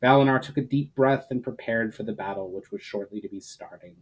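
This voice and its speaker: A man's voice: lowish voice, fairly quick, husky, rough recording. A man narrating a fantasy story in a dramatic storytelling style, occasionally stumbling over a word. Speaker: author and narrator reading his fantasy novel aloud.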